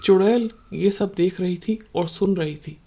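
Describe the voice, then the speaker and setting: A woman's voice: lowish voice, unhurried, warm tone, slightly rough. Woman narrating a scripted story.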